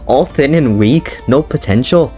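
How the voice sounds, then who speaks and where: A man's voice: mid-range voice, lively intonation, slow pace, mellow, soft background music, pitched above the performer's own voice. A man reading the lines of a blunt, tactless middle-aged Viking blacksmith in an amateur reading of a fan story, using a slightly raised version of his own voice. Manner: blunt, tactless advice given matter-of-factly.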